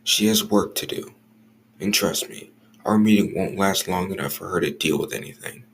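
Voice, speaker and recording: A man playing a stern, jealous, irritable office boss in an audio roleplay, curt and guarded, with a gravelly voice. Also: low-pitched, normal speed. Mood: curt, defensive. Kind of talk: scripted audio roleplay acting.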